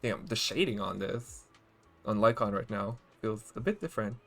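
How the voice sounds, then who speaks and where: A man's voice: on the low side, lively intonation, moderate pace, fairly bright tone, faint music behind. A man streaming a video game with casual, joking, wry commentary.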